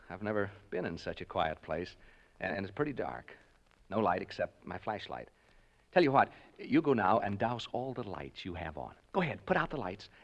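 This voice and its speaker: An actor playing a skeptical radio host on a ghost hunt, talking nervously and haltingly to his listeners in 1940s radio acting. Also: on the low side. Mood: hushed.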